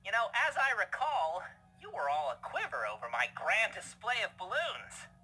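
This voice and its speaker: Woman voicing a menacing, bright-toned male cartoon pony. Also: medium pitch, very animated, moderate pace, rough recording. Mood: sinister taunting. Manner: taunting, mock-friendly, sinister. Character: menacing, playful male cartoon party pony turned killer. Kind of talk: audio drama fan fiction reading. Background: music.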